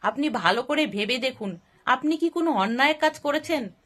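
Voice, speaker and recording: Woman voicing a high, earnest temple priest. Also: expressive, moderate pace, a bit bright. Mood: advising. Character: wise, concerned village temple priest.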